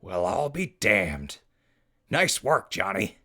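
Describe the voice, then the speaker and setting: An actor playing an easygoing, folksy wasteland radio reporter in an audio drama, informal and wry. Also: male voice, fairly low pitch, sing-song, slow pace, pushed and bright, full character voice. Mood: wry, companionable. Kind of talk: post-apocalyptic audio drama.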